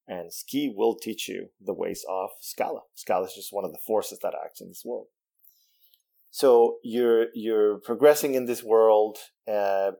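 Low gravelly man with a Spanish first language. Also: dark tone. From Venezuela. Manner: thoughtful, many restarts and fillers. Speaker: game developer, interviewee. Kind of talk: casual interview talk.

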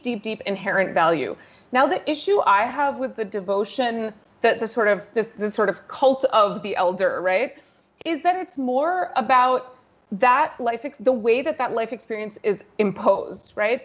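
A woman raised in the United States, talking in a lively way with laughs in a casual panel discussion. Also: on the high side, lo-fi sound.

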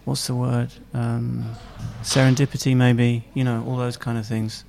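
Guy asking questions, a bit gravelly, kinda halting. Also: medium pitch, little pitch movement, darkish tone, a little hiss or hum. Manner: curious, prompting, slightly halting. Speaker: interviewer. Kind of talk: interview questions.

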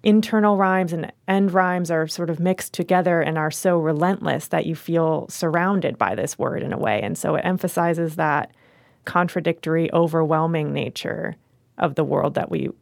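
A woman talking as a literary editor and host, discussing writing in a measured, analytical way. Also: low-pitched, creaky, no echo, studio quality. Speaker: magazine editor and podcast host.

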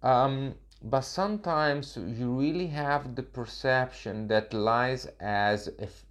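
A man interviewing someone, asking questions in a hesitant, halting way with many fillers. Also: medium pitch, a bit bright.

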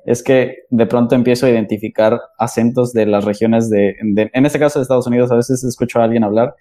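A man hosts a relaxed conversation in a mellow, warm voice of middle pitch. He laughs along with his guests and muses aloud as he goes. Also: fairly quick. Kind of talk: casual chat.

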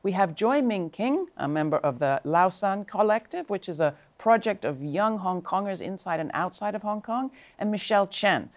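A woman's voice: medium pitch, expressive, normal speed, hardly any pauses. A woman hosting an interview, introducing guests and asking follow-up questions in an engaged, conversational way, often saying "I mean.". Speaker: host.

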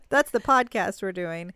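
Woman co-host in her thirties, giggly and chatty, smooth, close and dry sound. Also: mid-range voice, sharp tone, no echo. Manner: laughs often, halting restarts. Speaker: podcast co-host. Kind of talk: casual chat. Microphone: podcast microphone.

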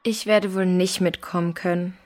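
A woman playing a thoughtful, polite young adventurer in a children's audio play, measured in delivery. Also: lowish voice, steady tone, darkish tone, close to an everyday voice.

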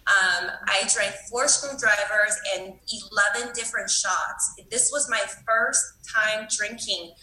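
A woman from Taiwan telling her own story at a recovery meeting, candid and self-deprecating, with plenty of "um" and "you know." Her voice has a bright, forceful edge. The room around her rings with echo. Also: mid-range voice, takes it slowly, few pauses. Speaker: AA meeting speaker. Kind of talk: personal recovery talk.

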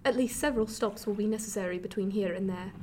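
A woman's voice: mid-range voice, quick pace, warm tone, slight background noise, close to an everyday voice. A woman playing a bitter, sardonic 18th-century mother in a radio drama, with dry, formal diction. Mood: dry, cutting.

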